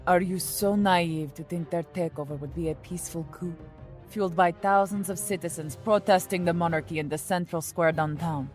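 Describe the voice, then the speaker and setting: Imperious female royal spy played by an actress, cryptic and formal, deep mellow voice, faint music behind. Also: close to an everyday voice. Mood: ominous warning. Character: mysterious, commanding female royal agent, coolly condescending. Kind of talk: steampunk audio drama acting.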